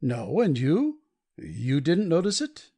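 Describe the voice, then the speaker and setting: A woman's voice: sits low, huge pitch swings, takes it slowly, warm tone, husky, full character voice. A woman voicing an anxious, practical husband in a dramatic audiobook reading. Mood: anxious questioning.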